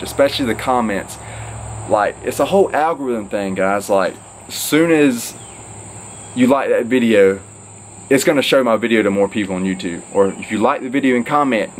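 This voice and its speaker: A man's voice: mid-range voice, a little hiss or hum, rough recording. A young man talking casually to camera from an outdoor porch, rambling and upbeat with lots of fillers. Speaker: fitness vlogger. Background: crickets and insects.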